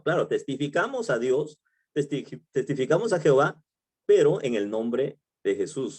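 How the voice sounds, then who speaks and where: A middle-aged Peruvian pastor leads a Bible study in a loose, conversational way. His voice is mellow and warm, sitting at an ordinary pitch for a man. He repeats himself and checks in with his listeners as he goes. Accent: Peruvian Spanish. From Peru. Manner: conversational, many fillers and restarts, asks "¿no?".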